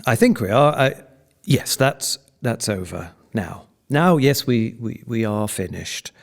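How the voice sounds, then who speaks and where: A man acting a hesitant, bumbling announcer in a 1920s radio play, halting and stilted. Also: medium pitch, lively intonation, vocal fry. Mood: awkward, unsure.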